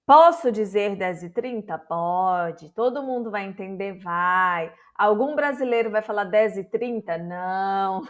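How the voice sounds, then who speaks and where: A woman's voice: mid-range voice, lively intonation, a little slow, few pauses. A woman with a Brazilian accent teaching an online lesson, explaining patiently and often checking in with her viewers. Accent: Brazilian Portuguese. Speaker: Portuguese teacher.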